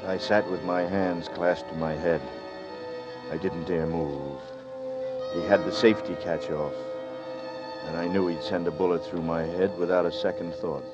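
Man playing a deep-voiced hunted narrator. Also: fairly even intonation, moderate pace, dull audio, lightly acted. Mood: tense. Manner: tense, pleading first-person narration. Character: frightened hunted young male narrator. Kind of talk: 1940s radio acting. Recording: old radio broadcast with music.

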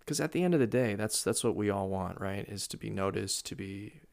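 Casting: lower-pitched man with a gravelly voice, chatty and storytelling. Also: brisk. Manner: storytelling, animated with laughs. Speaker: guest speaker. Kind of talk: casual podcast chat.

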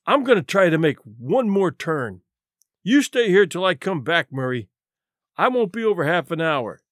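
A man's voice: a little high, very animated, moderate pace, dry recording, studio quality. A man voicing a huge, down-and-out former police captain in an audiobook story, growling and grumbling in coarse streetwise speech. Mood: huffy, determined.

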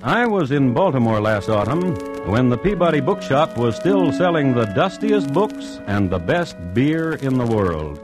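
An urbane male crime connoisseur hosts an old radio series. He is droll and deliberate, with a lively melodic delivery and a hint of music behind him. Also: medium pitch. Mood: droll, storytelling. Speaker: host and narrator. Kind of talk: 1950s radio crime narration.

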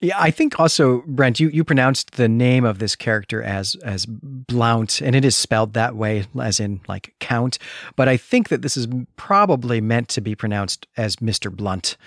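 A man hosts a book discussion podcast in a reflective, articulate voice at a middling pitch. He talks through his thoughts with open appreciation. The sound is dry, with very little room in it. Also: lively intonation, normal speed, dry recording. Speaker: podcast co-host. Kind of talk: literary discussion podcast, casual analytical chat.

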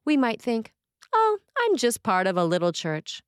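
A woman's voice: fairly high pitch, wildly swooping pitch, normal speed, clean tone, dry recording, clean studio sound. A woman priest reading a scripted sermon.

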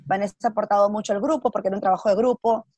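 A woman's voice: mid-range voice, steady tone, very fast, mellow, dry recording. A woman from Peru with a Peruvian accent, chatting casually and telling personal stories, slipping in English words and acting out what people said. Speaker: interview guest.

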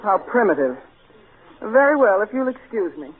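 A snobbish, world-weary rich boy with a high voice. He speaks pompously and formally, in old radio-comedy style. The sound is tinny and narrow-band. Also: sing-song, normal speed, noisy background. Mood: haughty. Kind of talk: 1940s radio comedy acting.

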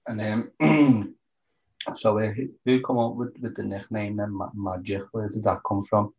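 A man's voice: lowish voice, fairly even intonation, unhurried, a little mellow, highs cut off. A man asking short, casual interview questions.

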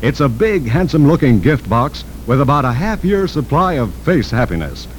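An American man reads a radio advertisement in the style of the 1940s. His polished, agreeable pitch moves up and down freely. Faint rumbling noise marks it as an old broadcast. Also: very animated, moderate pace, poor audio. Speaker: radio announcer and sidekick.

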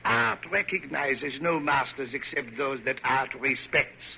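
Noisy old radio recording, imperious Frenchman, bright forceful voice, hot-tempered architect, theatrical and grandly formal. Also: mid-range voice, animated, measured pace, gravelly, highs cut off, full character voice. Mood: grandly confident. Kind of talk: classic radio drama acting.

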